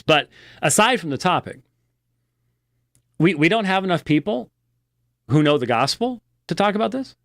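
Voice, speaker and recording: A man giving unscripted religious news commentary as a program host, halting and deliberate, with a critical, emphatic edge. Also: a little high, fairly bright tone, no echo, clean studio sound.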